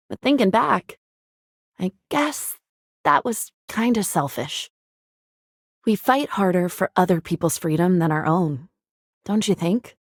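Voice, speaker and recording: Low-voiced rebellious skater girl. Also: a little mellow, dry recording, studio quality. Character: cool, rebellious young female street skater. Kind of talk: video game character voice line.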